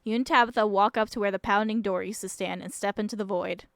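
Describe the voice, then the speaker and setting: A woman reading aloud the measured, descriptive second-person narration of an eerie visual novel. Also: medium pitch, fairly even intonation, fairly bright tone, dry recording, natural voice.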